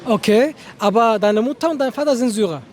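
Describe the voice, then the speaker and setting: A man conducting street interviews in a city, lively and brotherly, often exclaiming. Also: very high-pitched, fairly quick, slight background noise. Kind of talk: street interview with religious appeal.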